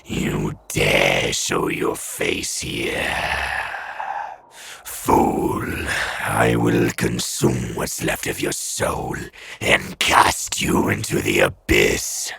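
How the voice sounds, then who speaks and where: A man playing a cruel, imperious demonic spectral master in an audio drama, with a gravelly, hissing growl and formal, menacing delivery. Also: very deep voice, drawn-out words, bright, forceful tone, full character voice. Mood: furious. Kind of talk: audio fiction villain acting.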